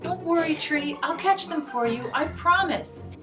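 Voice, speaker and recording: A woman's voice: high voice, measured pace, pitched above the performer's own voice. A woman voicing a worried, tender young male fox in a storybook read-aloud, with an earnest, pleading manner and music behind. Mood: anxious, insistent.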